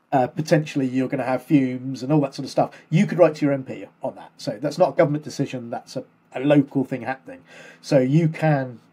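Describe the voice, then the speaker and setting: Brief: male co-host, chatty explainer, soft husky tone, loose rambling delivery. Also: a little high. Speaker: podcast co-host. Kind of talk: casual chat.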